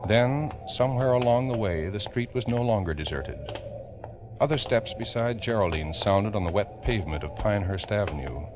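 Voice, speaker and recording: A man giving solemn, ominous, deliberate scripted narration in the style of old-time radio drama. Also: on the low side, expressive, highs cut off, background noise. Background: organ music.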